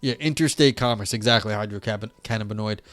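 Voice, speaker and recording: A man's voice: fairly low pitch, a little fast, a bit bright, no echo. Guy streaming, chatty and rambly, lots of likes and ums, dry close mic, faint music under it. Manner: rambling, filler-heavy, chatty with viewers. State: losing his voice. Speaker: streamer reading philosophy papers. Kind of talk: casual live-stream chat and reading aloud.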